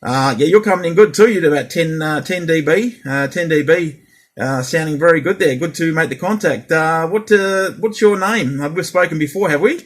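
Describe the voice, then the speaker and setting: A man's voice: a little high, moderate pace. A man in a casual radio chat as a CB operator, speaking hesitantly and repeating himself. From Australia.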